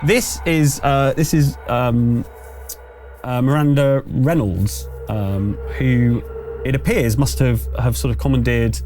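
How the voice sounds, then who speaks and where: A man running a tabletop game as game master, improvising the narration in a halting, conversational way. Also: medium pitch, a little mellow. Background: background music.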